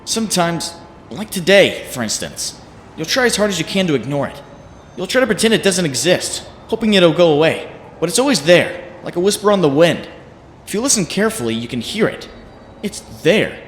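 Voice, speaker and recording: A man's voice: high-pitched, very animated, a little fast. A man playing a young male superhero in a comic book dub, anguished and frantic, with a brooding, self-mocking monologue that bursts into urgency. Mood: agitated, anguished. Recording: roomy reverberant voice, faint noise.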